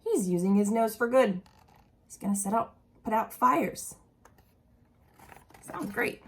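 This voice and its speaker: Woman, higher-pitched and slow-paced, for an animated children's read-aloud with simple questions to young listeners. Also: slightly rough. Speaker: early childhood educator reading aloud. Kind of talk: expressive picture-book read-aloud with asides to young children.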